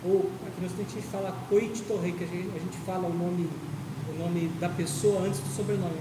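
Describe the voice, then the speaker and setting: Brazilian woman teaching in an echoey hall. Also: fairly low pitch. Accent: Brazilian Portuguese. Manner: explanatory, tags phrases with "né?" and "tá?". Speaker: aikido instructor. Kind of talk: informal lecture to students. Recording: echoey hall from far off, noisy.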